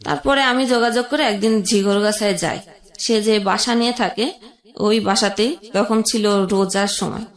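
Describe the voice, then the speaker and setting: A young woman telling an emotional personal story as a guest, halting, with pauses and restarts. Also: mid-range voice, roomy sound.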